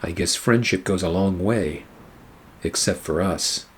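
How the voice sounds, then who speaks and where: Noir radio play detective, male actor, very low and slightly husky, weary, sardonic, measured. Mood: wry, measured. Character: weary, wry hard-boiled male private eye narrating his case.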